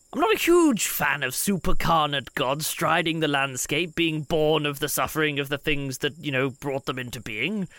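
A man's voice: on the high side, fairly bright tone, no room sound. A man improvising in character as a player in a tabletop role-playing show, wordy and wry, often repeating himself as he reasons.

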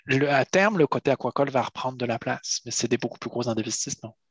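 French-born man explaining seaweed, halting. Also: medium pitch, breathy edge, no echo. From France. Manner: stammers and repeats words, explains step by step. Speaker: seaweed harvester and entrepreneur. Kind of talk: interview answers, explanatory.

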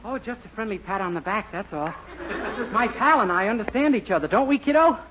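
Cheerful naive young small-town clerk, American, boyish, high voice, self-pleased, faintly noisy old radio sitcom. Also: male voice, expressive, fairly quick, fairly bright tone. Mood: chummy. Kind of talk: 1940s radio situation comedy acting. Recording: muffled, noisy 1940s radio recording.

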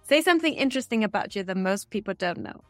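A woman hosting an interview, reading scripted questions in a steady, measured way. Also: mid-range voice, no room sound, faint music behind. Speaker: podcast interviewer. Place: Stockholm.

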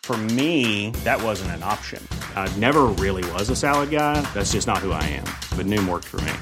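Low candid man over loud music. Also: lively intonation, moderate pace. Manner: casual, candid. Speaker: ad testimonial speaker. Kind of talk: testimonial. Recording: ad clip with loud music bed.

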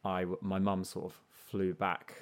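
A man with a notably low voice, chatting as an artist guest in an easygoing, unhurried way. He reflects as he goes, often restarting his sentences. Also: completely flat delivery, clean tone. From grew up in Portugal, then England. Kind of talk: casual conversational interview.

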